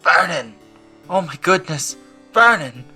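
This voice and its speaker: A man's voice: on the high side, animated, slow pace, sharp tone, husky, soft background music, voice pushed up, exaggerated voice. A man voicing a fretful, quivering middle-aged aunt, full of fear, in an audiobook drama. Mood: shocked. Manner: quivering, fearful. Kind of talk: audiobook drama character acting.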